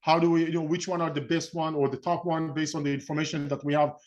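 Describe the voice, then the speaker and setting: A middle-aged man with an Israeli accent presents an informal webinar as its host, in a halting, rambling way, often rephrasing mid-sentence. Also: on the high side, little pitch movement, darkish tone, lo-fi sound. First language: Hebrew. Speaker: webinar host and real estate investment coach.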